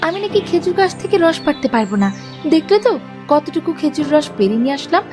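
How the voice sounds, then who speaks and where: A woman's voice: high voice, big pitch swings, fast talker, music in the background. A woman voicing a bold, stubborn young village woman in a fairy-tale cartoon, speaking with defiant emphasis. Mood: indignant, proud.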